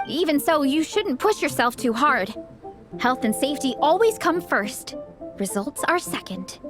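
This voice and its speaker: Very high, gentle young heroine. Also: female voice, very animated, unhurried, music in the background. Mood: concerned. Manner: gentle, encouraging, sincere. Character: sweet, supportive, caring young woman athlete. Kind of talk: scripted video game voice acting.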